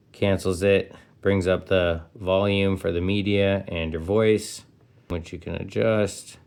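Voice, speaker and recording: Man, slightly deep voice, informal hands-on review, enthusiastic, slangy, punchy delivery. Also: gravelly. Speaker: product reviewer and host. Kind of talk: casual hands-on gadget review.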